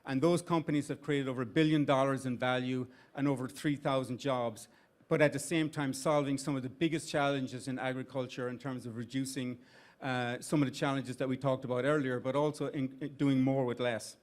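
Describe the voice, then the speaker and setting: A man's voice: mid-range voice, steady tone, fairly quick. Irish man giving event remarks. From Ireland. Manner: conversational, with restarts and fillers. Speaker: CEO of an investment firm giving opening remarks. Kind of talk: live event speech. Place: event venue with an audience.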